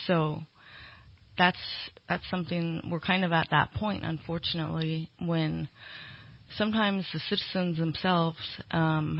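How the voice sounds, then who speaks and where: Female interviewee, political candidate; explanatory, slightly halting delivery, close dry sound, dull lo-fi recording. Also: deep voice, vocal fry, no echo. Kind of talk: conversational interview answers. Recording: dull, lo-fi radio interview audio.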